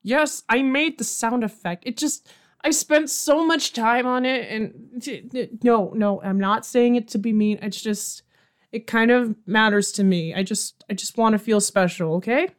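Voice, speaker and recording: A woman's voice: a little high, measured pace, lightly acted. A woman playing a flustered, placating night radio host in an audio comedy, chatty and rambling, often interrupting herself. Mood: hurt, placating. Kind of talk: audio comedy drama in radio show format.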